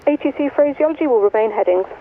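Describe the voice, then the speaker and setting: Female air traffic controller, clipped radar talk-down phraseology, narrow radio sound with clear static. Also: high-pitched, lively intonation, fast. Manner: clipped, procedural, instructing. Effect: aviation radio. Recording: tinny, noisy aviation radio transmission.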